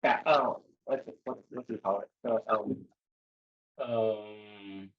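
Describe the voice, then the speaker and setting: A man from the audience asking a question in an online lecture, hesitant and halting. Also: fairly low pitch, steady tone, lo-fi sound. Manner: hesitant, halting, many fillers. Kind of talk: online academic Q&A. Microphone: video call.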